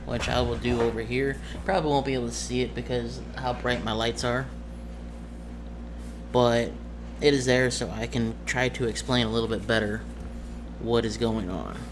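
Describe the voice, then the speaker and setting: A man talking as game master, explaining a tabletop game scenario casually in a halting, self-correcting way. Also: mid-range voice, fairly even intonation, background noise.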